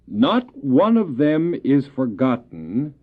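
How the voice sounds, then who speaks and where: An actor playing a polite, curious traveller in an audio drama, speaking in a halting, inquisitive way. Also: male voice, mid-range voice, sing-song, phone-quality sound. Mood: curious, hesitant. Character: curious, polite, hesitant male traveller visiting the hospital. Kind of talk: radio drama acting. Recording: thin, band-limited old cassette drama.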